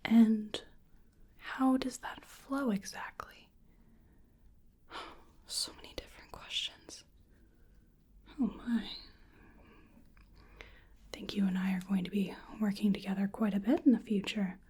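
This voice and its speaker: A woman playing a hesitant, curious creature researcher in a soft-spoken, whispered ASMR exam roleplay, musing gently and apologetically. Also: medium pitch, fairly even intonation, slow, pauses often, slightly rough.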